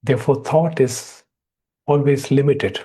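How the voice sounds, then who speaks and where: A man with a dark, mellow and somewhat breathy, husky voice leads a guided meditation. He is a teacher who speaks in short, measured phrases. Long pauses separate his thoughts. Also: medium pitch. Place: online video call session.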